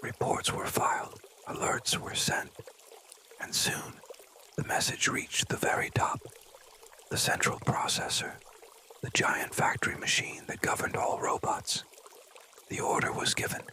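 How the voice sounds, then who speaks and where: A breathy, fully whispered voice narrating a children's story in a gentle, deliberate ASMR style.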